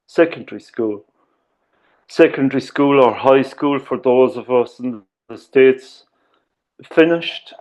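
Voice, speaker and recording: An Irish man tells his story in a talk, slow and deliberate, with frequent "uh" and "um" between phrases. His voice sits at an ordinary middle pitch. The recording sounds somewhat dull and lo-fi. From Ireland. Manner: measured, with frequent "uh" and "um". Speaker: speaker giving a talk. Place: lives in the UK.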